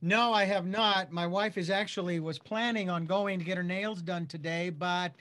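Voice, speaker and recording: A man's voice: sits high, fairly even intonation, moderate pace, a bit bright. A man working as a radio producer, talking informally and jokily off air. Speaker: radio station producer and engineer. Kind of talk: casual off-air chat.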